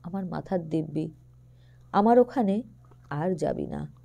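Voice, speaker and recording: Woman voicing an older aunt, tender, worried, soft, pleading, mellow. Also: fairly low pitch, animated, takes it slowly, creaky, pitched below the performer's own voice, heavily acted.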